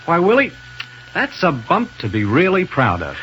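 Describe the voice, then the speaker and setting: A man playing a brisk, decisive space-hero captain who is kind to children, in 1930s radio serial style on a noisy, muffled broadcast. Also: a little high, huge pitch swings. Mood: jovial, reassuring.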